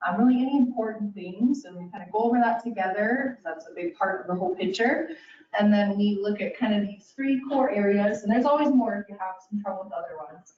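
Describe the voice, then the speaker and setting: A woman's voice: medium pitch, a little mellow. A woman speech-language pathologist giving a casual educational presentation, talking straight to her listeners with lots of "kind of" and "um". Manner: casual and chatty, addresses listeners directly, many "kind of" and "um". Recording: roomy, distant, dull sound.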